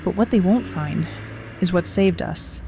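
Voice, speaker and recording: A woman's voice: fairly low pitch, measured pace, gravelly, mellow, a little hiss or hum. A woman playing an earnest, reflective runner who narrates her own story in an audio drama. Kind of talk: first-person story narration with acted dialogue.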